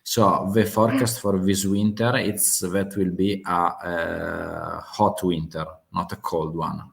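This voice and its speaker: A man's voice: low voice, fairly even intonation, slow pace, creaky. A man from Italy with a strong Italian accent, hosting a casual live chat about world affairs. He speaks in English with many fillers and restarts. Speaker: host of live geopolitics chat. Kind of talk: casual live-stream talk.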